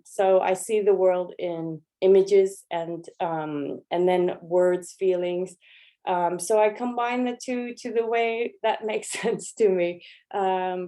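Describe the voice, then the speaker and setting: Mellow-voiced woman teaching a workshop. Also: mid-range voice. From Los Angeles. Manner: relaxed, chatty, with frequent ums and restarts. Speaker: workshop leader. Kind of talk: informal lecture with slides.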